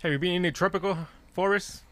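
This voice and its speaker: A man's voice: high-pitched, fast talker, a bit bright. A man co-hosting a podcast in casual chat, dropping in short questions and reactions. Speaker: podcast co-host.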